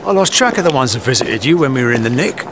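An actor playing a weary, sardonic working-class Londoner, blunt and dryly teasing, in audio drama. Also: male voice, mid-range voice, very animated, fast talker. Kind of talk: naturalistic audio drama acting. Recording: loud street and traffic noise.

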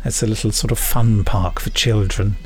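A man's voice: fairly low pitch, steady tone, moderate pace. A middle-aged man hosting a podcast, chatting casually and reading listener emails in a jokey, rambling, self-deprecating way. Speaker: podcast host.

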